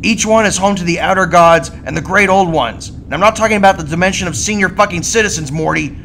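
A man voicing a brash, cynical old scientist grandfather in a cartoon audio drama, gruff, stammering and foul-mouthed. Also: high-pitched, quick pace, bright, forceful tone, a little hiss or hum, poor audio. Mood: dismissive.

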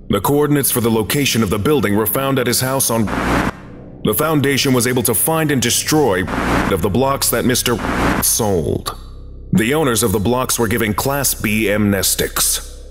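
A man narrating a scripted archive briefing in a formal, measured, clinical manner. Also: fairly low pitch. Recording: long reverb, music behind. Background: music.

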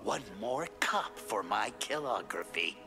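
An actor voicing a sadistic, darkly playful masked killer in a video game, gravelly and breathy, mocking as he savors his threats. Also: fairly low pitch, very animated, unhurried, over music, heavily acted. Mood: gleefully taunting. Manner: mocking, menacing, savoring words. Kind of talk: video game villain dialogue.

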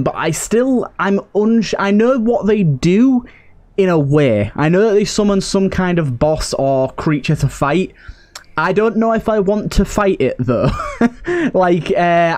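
Male gaming host, fairly high register, relaxed-format playthrough commentary, conversational delivery. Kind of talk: casual let's play commentary.

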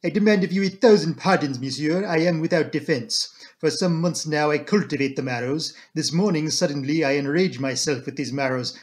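A man voicing a fussy, solemn little foreign gentleman with a French accent and florid, formal speech. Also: a little high, lightly acted. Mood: apologetic.

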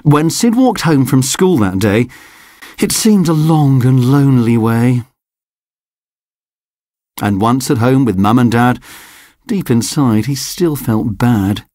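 Brief: slow, sing-song bedtime story reader with a breathy voice. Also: mid-range voice, very animated, warm tone, no room sound, studio quality. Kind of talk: children's picture book read-aloud.

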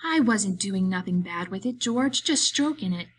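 A woman voicing a big, simple-minded, childlike man who speaks in halting, folksy ungrammatical phrases, meek and pleading, in an audiobook story. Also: mid-range voice, pitched above the performer's own voice, lightly acted.

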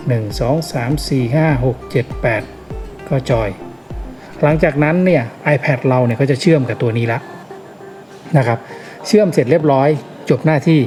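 Low-voiced man demonstrating, music behind. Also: mellow. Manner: informal step-by-step instructing, repeats key steps. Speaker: product demonstrator giving a tutorial. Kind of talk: casual hands-on tutorial narration. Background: clearly audible background music.

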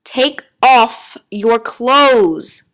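A woman's voice: fairly high pitch, measured pace, fairly bright tone. A woman voicing a slender, defiant, easily annoyed alien man in a story, with an emphatic, insistent delivery. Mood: agitated, urgent.

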